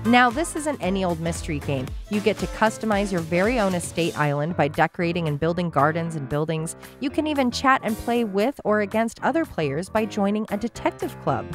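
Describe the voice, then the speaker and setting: A woman's voice: medium pitch, lively intonation, fairly quick, hardly any pauses, soft background music. A woman hosting a podcast, reading a scripted sponsor advertisement. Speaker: podcast host. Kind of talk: scripted ad read.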